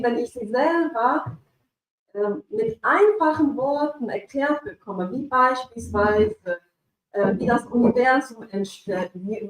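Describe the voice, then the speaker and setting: A woman whose first language is Pashto, speaking hesitantly at a live event with many pauses and fillers about narrating a children's story. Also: a little high. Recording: echoey room, mic at a distance.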